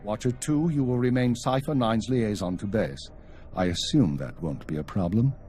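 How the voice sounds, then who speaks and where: Casting: male actor as older intelligence chief, low register, formal and authoritative, video game drama. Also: moderate pace, mellow, slight background noise, lightly acted. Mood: grave, commanding. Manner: clipped, formal, commanding. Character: stern older male spymaster commanding intelligence agents. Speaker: intelligence chief briefing agents.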